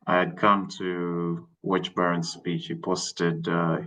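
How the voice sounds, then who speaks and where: Man from Uganda, very deep voice, relaxed, measured. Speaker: guest at a club meeting.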